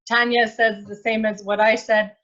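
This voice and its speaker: A woman's voice: mid-range voice, little pitch movement, normal speed, a bit bright. A woman host running an online launch, speaking in an upbeat, effusive way. Her voice comes through a slightly dull video-call line. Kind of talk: live virtual launch hosting.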